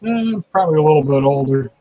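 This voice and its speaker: A man in his fifties chatting casually as a guest, relaxed and slightly stammering. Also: on the high side, fast. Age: 50s. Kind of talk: casual group chat.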